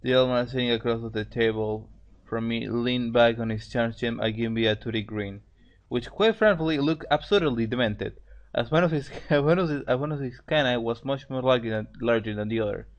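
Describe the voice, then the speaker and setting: Male amateur narrator, medium pitch, casual story reading, halting delivery, repeated phrases. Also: steady tone, muffled recording. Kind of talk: amateur fan fiction reading with casual banter.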